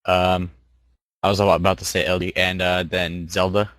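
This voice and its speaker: Low voiced dude, sharp bright tone, dry close mic, slow and hesitant. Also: male voice, flat delivery, dry recording. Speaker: podcast co-host. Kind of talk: casual chat.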